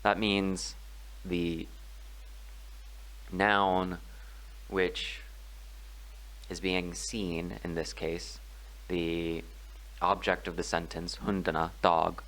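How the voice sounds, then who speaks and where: Slow, deep-voiced man teaching grammar. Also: flat delivery, fairly bright tone, rough recording. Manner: slow, measured explaining with frequent ums and pauses. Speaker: language tutor. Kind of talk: screen-recorded grammar tutorial.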